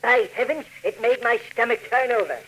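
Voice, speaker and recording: A man's voice: extremely high pitch, a little fast, fairly bright tone, poor audio. A man playing an agitated, grave scientist in 1940s radio acting, speaking in a formal, earnest way on a hissy old recording. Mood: grave, ominous. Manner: formal, earnest, alarmed speech. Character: agitated male scientist inventor, grave and helpless.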